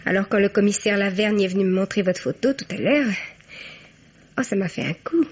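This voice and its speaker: A woman's voice: mid-range voice, fairly quick, husky, close to an everyday voice. An actress playing a cheeky young woman blackmailer, chatty, sly and mock-innocent, in a French radio crime drama. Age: young adult. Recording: dull, band-limited radio sound.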